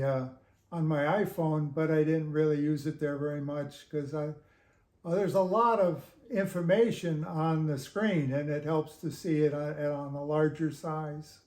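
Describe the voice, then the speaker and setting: Slow, halting older man in an echoey room. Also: on the high side, steady tone, a little mellow. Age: 70s. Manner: halting, measured, pauses often. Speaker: guest reviewer of audio gear. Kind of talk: casual interview answers. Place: home living room with hi-fi gear.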